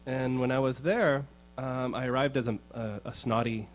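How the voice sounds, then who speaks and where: A man's voice: medium pitch, steady tone, normal speed, faint music behind. A man from the United States telling a casual, rambling story between songs as a guitarist, earnest and prone to repeating words as he thinks. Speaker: guitarist performing for an audience. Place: music showroom.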